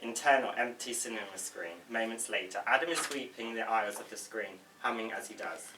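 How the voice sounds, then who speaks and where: A man's voice: lowish voice, fairly quick. A man reading stage directions as scripted narration at a table read. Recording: echoey room, distant mic, faint noise.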